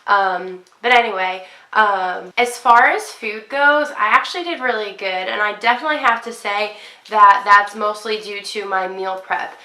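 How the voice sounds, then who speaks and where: A woman's voice: medium pitch, normal speed, bright, forceful tone. A young woman with a congested voice, chatting casually to camera about her fitness week, with plenty of ums. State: congested, sick. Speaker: fitness vlogger. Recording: echoey room, poor quality.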